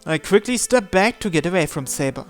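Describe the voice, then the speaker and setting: A man with a fairly high voice reads a visual novel aloud. He delivers it as dramatic, scripted first-person narration. Faint music runs behind the voice. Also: animated, a little fast. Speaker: channel host reading visual novel text aloud.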